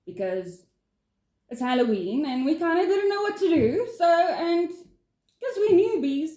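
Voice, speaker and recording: Woman, from South Africa, non-native English, giggly, bubbly, high voice, echoey room. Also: sing-song, unhurried, warm tone. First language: not English. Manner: giggly, bubbly, with hesitant fillers. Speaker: vlog co-host. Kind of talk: casual chat.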